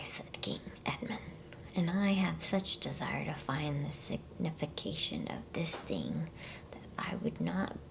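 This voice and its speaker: A woman reading a bedtime story as ASMR in a soft, breathy, hushed whisper, unhurried and close to the microphone. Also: low-pitched. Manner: hushed, unhurried, with playful asides.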